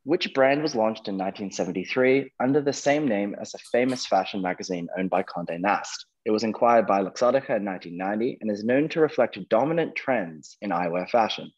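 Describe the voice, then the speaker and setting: A man's voice: lowish voice, expressive, fairly quick, hardly any pauses, highs cut off. A man hosting a quiz, reading questions and ruling on answers. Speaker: quizmaster, host.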